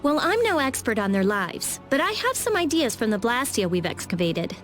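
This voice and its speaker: Blunt smart teen girl, game character, high lively voice, music faintly behind. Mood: analytical. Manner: matter-of-fact, brisk, a little tart. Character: brainy, blunt teenage girl scholar of ancient technology. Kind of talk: video game voice acting.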